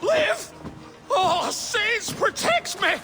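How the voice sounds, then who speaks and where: An actor playing a pompous, cowardly thane in a video game, blustering and shrill in a melodramatic way. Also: male voice, sing-song, very slow, over music, heavily acted. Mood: terrified pleading. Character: pompous, cowardly male thane who bullies then panics. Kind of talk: video game character acting.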